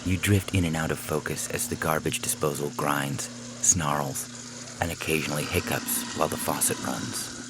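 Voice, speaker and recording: A man reading a scripted short story as narrator, in a measured, deliberate way. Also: very deep voice, fairly even intonation, background noise. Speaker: narrator and podcast host.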